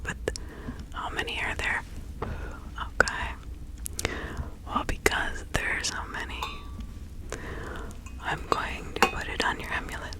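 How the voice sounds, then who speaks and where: A reassuring witch of the 1600s, acted out by a woman in an ASMR role-play. She speaks entirely in a whisper, very slowly and haltingly, with the phrasing of someone guiding a client through a ritual. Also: natural voice, low-quality recording. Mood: solemn, ritualistic. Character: reassuring 17th-century witch, a woman counselling an accused client.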